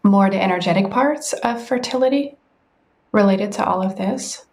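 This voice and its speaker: A woman hosting a podcast, asking her guest questions in a gentle, measured way. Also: medium pitch. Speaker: podcast host. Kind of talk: interview questions.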